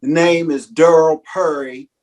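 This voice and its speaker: A man, a rapper, chatting casually on a video call, relaxed and slangy as he tells his story. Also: a little high, unhurried. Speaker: guest, rapper.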